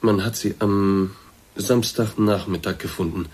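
An actor playing a courteous, awkward middle-aged boss in a radio play, speaking in a formal, hesitant, roundabout way with a slightly husky voice. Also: male voice, on the low side, steady tone, a little slow, darkish tone. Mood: sympathetic, uneasy. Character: courteous, awkward male boss, discreetly breaking bad news. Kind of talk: German radio drama acting, naturalistic dialogue.

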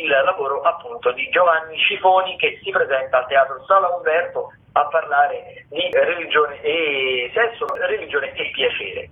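Higher-pitched man on phone-like radio audio. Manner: halting, hesitant delivery with frequent self-corrections. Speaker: radio host. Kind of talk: presenting theatre listings on radio. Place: radio broadcast. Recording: muffled narrowband telephone-like radio audio.

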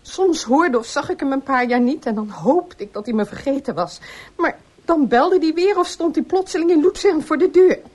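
A woman's voice: sits high, animated, moderate pace, darkish tone. An actress playing an anxious, guarded woman with a defensive manner in a radio drama. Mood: hesitant, troubled. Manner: hesitant, defensive. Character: anxious, guarded woman haunted by former lover. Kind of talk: Dutch radio drama acting.

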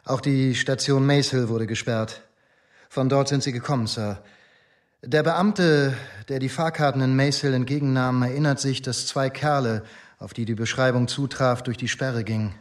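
An actor playing a dutiful but insistent police inspector in a radio drama, formal, firm and matter-of-fact. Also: male voice, mid-range voice, fairly even intonation, normal speed, close to an everyday voice. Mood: matter-of-fact reporting.

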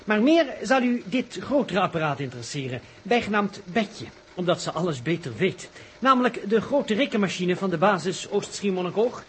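A man's voice: high-pitched, big pitch swings, moderate pace. An actor playing a male television reporter commentating formally on a rocket launch in an old Dutch-language radio drama. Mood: formal, promotional. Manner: formal, explanatory announcing. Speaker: broadcast commentator. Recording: old radio recording, faint noise.

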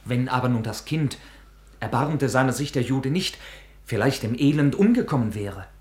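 An actor playing a proud, impetuous young knight, curt and ironic, in a 1950s German radio play. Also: male voice, mid-range voice, lively intonation, normal speed, a little mellow, natural voice. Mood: grave. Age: young adult.

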